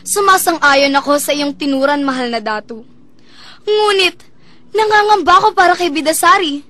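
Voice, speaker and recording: Casting: actress as fretful loving mother in a radio play, very high register, sharp tone, formal emotional delivery. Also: moderate pace, no room sound. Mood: worried. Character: devoted, anxious wife and adoptive mother. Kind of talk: melodramatic radio-drama acting.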